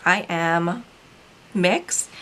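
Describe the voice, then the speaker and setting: A woman from the Philippines casually narrating as she decorates a planner, chatty and relaxed, with frequent ums. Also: on the low side, very slow, a little hiss or hum. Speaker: planner vlogger and shop owner.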